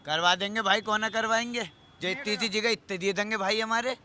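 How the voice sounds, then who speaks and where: Male passer-by, high and very sharp, blunt colloquial street banter. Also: steady tone, a little fast, slight background noise. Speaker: member of the public. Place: outdoors on a street.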